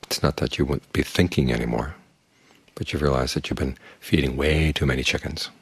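An older man teaching as a Buddhist monk, his voice very low and strongly husky. He speaks calmly and plainly, and the recording is close and dry. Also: brisk, no echo. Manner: calm, plain-spoken, uses homely images. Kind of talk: evening dharma talk.

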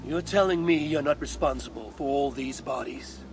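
A woman's voice: rumbling low, moderate pace, a little hiss or hum. An actress playing a blunt, suspicious and proud Viking warrior woman in a video game. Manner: blunt, curt, challenging. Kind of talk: video game cutscene acting. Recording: game audio with faint music. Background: game soundtrack music.

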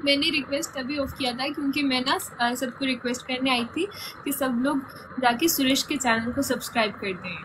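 A woman's voice: sits high, normal speed, fairly bright tone, a little hiss or hum, poor audio. A young woman with a sore throat chatting lightly as a guest on a live stream, speaking into a phone. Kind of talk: casual live stream chat. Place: indoor room, video call.

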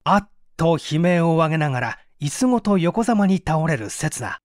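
A man's voice: a little high, animated, moderate pace, no echo, clean studio sound. A man reading mystery fiction aloud as a dramatic scripted narration. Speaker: audiobook reader.

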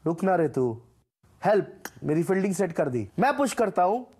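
Husky male gamer for short, punchy voice-pack catchphrases, pitched fairly high and very animated. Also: moderate pace. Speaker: gaming creator voicing a game voice pack. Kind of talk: short scripted gaming callouts and catchphrases.

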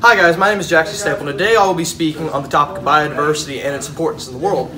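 A teenage boy recites a school presentation in a steady, earnest way, standing by a classroom whiteboard. The mic sits some way off from him, and voices chatter clearly in the room. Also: a little high, rapid-fire, distant mic. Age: teens. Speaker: student presenter.

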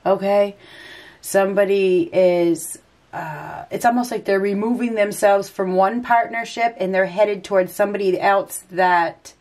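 A woman's voice: medium pitch, fairly even intonation, unhurried, darkish tone. A woman with a head cold and stuffy nose, giving an unscripted tarot reading and thinking aloud as she turns the cards. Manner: rambling, hesitant, thinking aloud. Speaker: tarot reader. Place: indoors at a table.

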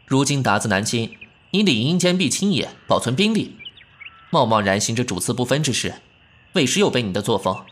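An actor playing a proud, sharp-tongued but caring young man in an ancient-costume audio drama, huffy and scolding. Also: medium pitch, very animated, brisk. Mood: stern questioning. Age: young adult.

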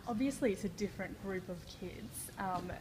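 A woman's voice: medium pitch, moderate pace. A woman asking casual questions as an interviewer. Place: large indoor hotel lobby. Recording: noisy room ambience.

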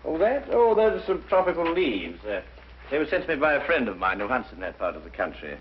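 An actor playing an absorbed, unhurried gentleman detective with an English accent, offhand and precise, in a 1950s mystery drama. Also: medium pitch, big pitch swings, close to an everyday voice. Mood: absorbed, explaining. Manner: offhand, absent-minded, explains precisely. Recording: dull, faintly noisy 1950s soundtrack.

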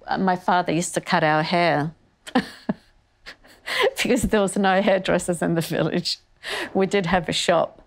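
An older woman from northern Greece who moved to Australia as a child, speaking candidly and thoughtfully as an artist being interviewed. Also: sits low. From born in northern Greece, moved to Australia as a child. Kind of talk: reflective conversational interview. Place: indoor wood-panelled art studio. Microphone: lapel mic.

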